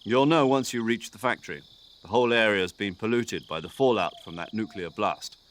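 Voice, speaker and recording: A man voicing an older military commander in a video game, precise and drily good-humoured, articulate and measured as he briefs an agent. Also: lowish voice, a bit bright, close to an everyday voice. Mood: businesslike, grave. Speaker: mission commander giving a radio briefing.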